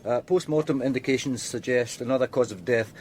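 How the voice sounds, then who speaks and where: An actor playing a dutiful police detective in a radio drama, giving an orderly, businesslike report. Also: male voice, medium pitch, brisk, a little mellow, slight background noise, natural voice. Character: dutiful male police detective briefing his superior.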